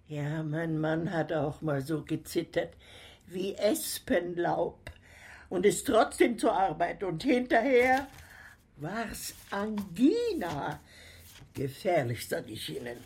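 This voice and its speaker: An actress playing a blunt, nosy, chatty building caretaker in a radio drama, speaking in plain colloquial words. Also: female voice, fairly low pitch, expressive, slow pace, darkish tone, slightly rough, full character voice. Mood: chatty, gossipy. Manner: blunt, suspicious questioning, plain colloquial talk.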